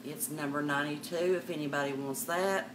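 Older woman host, low voice, chatty live-sale patter, webcam a bit far off with room noise. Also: fairly even intonation, a little fast. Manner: chatty, calls viewers darling and miss. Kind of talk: live sales stream, chatting to viewers. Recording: distant mic with background noise.

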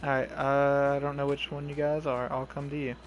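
A man's voice: medium pitch, monotone. Young male streamer, loose and profane, talks over a console headset with faint hiss behind. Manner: loose, swearing, gives quick directions. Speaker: streamer playing a horror survival game. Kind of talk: casual gameplay chat with teammates. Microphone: console headset mic.

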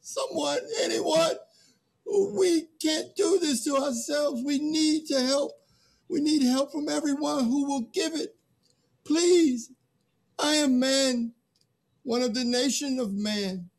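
A man playing a weary, despairing human in a play reading, halting and anguished. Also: very high-pitched, full character voice, poor audio. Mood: desperate pleading. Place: video call, virtual background.

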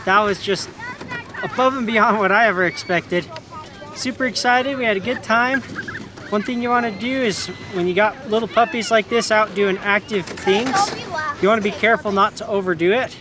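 A man's voice: sits high, normal speed. A man in his thirties talking casually and with animation as a dog trainer hosting an outdoor vlog, affectionate with animals. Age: 30s. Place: outdoors in a snowy park. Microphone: camera a few feet away. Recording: noisy outdoor camera audio.

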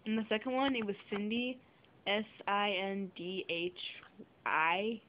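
A young girl chatting playfully in a bedroom, filming on a handheld camera, with silly drawn-out words. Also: medium pitch. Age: child. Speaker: vlogger. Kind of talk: goofy sleepover vlog chat. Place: small bedroom at home. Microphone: handheld camera mic. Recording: muffled lo-fi camera audio with faint hiss.

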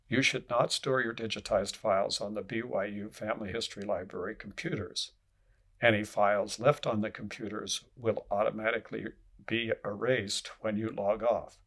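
A man reading scripted instructional narration in a measured, formal way, as an instructor would. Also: fairly low pitch, creaky, fairly bright tone, breathy edge.

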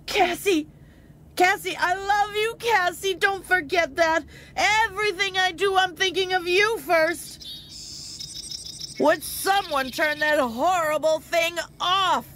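A woman's voice: very high voice, slow pace, a bit bright, slight background noise, heavily acted. An actress playing a defensive, self-absorbed single mother pleading desperately in an audio drama.

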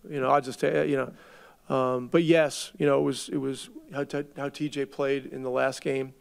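A middle-aged man, a head coach, answering reporters at a postgame press conference in a measured way, often restarting his words as he thinks. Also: mid-range voice, flat delivery, creaky, clean tone. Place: arena press room. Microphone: table mic.

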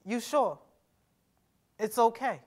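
A young man acting a wary, wounded murdered teenage boy who speaks with a halting stutter, in a staged reading. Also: very high-pitched, exaggerated voice. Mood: fearful. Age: young adult. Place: conference stage before a seated audience. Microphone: lapel mic.

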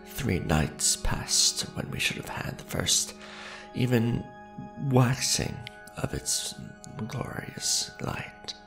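A man playing a centuries-old aristocratic vampire lord in an ASMR roleplay, with a gravelly voice and formal, grandiose, measured delivery. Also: mid-range voice, animated, music in the background. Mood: grave, brooding. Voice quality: gravelly, creaky. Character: centuries-old male vampire lord, imperious, aristocratic, tender to his beloved.

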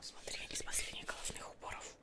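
A woman's voice: fairly quick, natural voice. A busy witch tailor, played by a young woman, whispers close to the mic in an ASMR roleplay. She is proud and a bit scatterbrained, hesitating and muttering words over again to herself as she works. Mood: coaxing. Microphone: close microphone.

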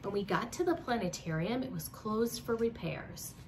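A young woman reading a children's storybook aloud in an expressive storyteller style. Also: normal speed. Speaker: children's book reader. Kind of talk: animated storybook read-aloud. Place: small home room with bookshelves. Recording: slightly distant mic, faint rumbling noise.

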